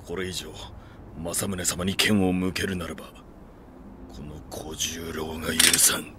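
A man's voice: low-pitched, level tone, slow, heavy background noise. An actor playing a stern, protective samurai retainer in an anime audio drama, speaking firmly and formally to his lord. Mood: threatening. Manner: firm, formal, gruffly deferential. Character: stern, loyal samurai retainer, protective right-hand man.

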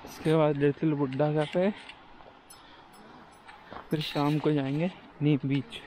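A man's voice: fairly high pitch, little pitch movement, slow, warm tone, slight background noise, low-quality recording. A young man talking casually to camera while hiking a forest trail, joking in a running commentary to himself. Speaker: travel vlogger.

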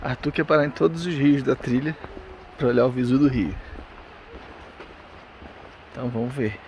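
A man's voice: mid-range voice, moderate pace, background noise. A man with a Brazilian Portuguese accent, chatting casually as he films a travel vlog outdoors, mixing in English phrases. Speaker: travel vlogger. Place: outdoors by a mountain lake and forest trail.

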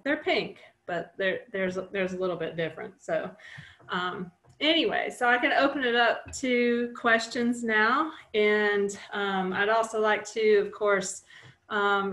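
A middle-aged woman talks through a slideshow on a video call, loose and conversational, stopping for "um" and starting sentences over. Her voice is mid-pitched and sits in a roomy, echoey space. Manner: relaxed, chatty, many fillers and restarts. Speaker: wildlife nonprofit director presenting a webinar. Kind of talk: casual presentation on a video call.

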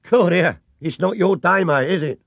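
A man's voice: sits high, normal speed, vocal fry, breathy edge, no echo, highs cut off, voice pushed up, exaggerated voice. A man doing a matey London cabbie. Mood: friendly. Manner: chatty and matey. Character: friendly working-class London cab driver man.

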